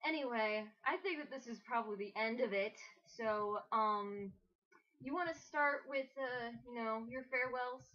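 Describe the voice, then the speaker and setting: A woman hosting casual, mocking commentary, sarcastic and animated in a rambling way. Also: a little high, normal speed, dull audio. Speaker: host of an amateur critique.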